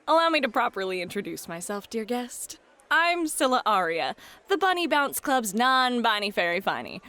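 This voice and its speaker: A woman's voice: on the high side, normal speed. A woman playing a playful, teasing fairy hostess and game master in a flirty ASMR roleplay, talking straight to the listener. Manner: flirty, teasing, excitable, addresses listener directly.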